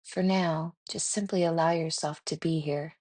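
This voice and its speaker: A woman leading a guided meditation in a gentle, soothing, unhurried way with pauses. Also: low-pitched, fairly even intonation, no room sound.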